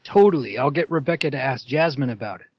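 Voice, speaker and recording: A man's voice: medium pitch, expressive, quick pace, no echo. A man playing an eager, gossipy teenage best friend in an amateur fan fiction read. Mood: casual, confiding. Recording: slightly dull, lo-fi voice track.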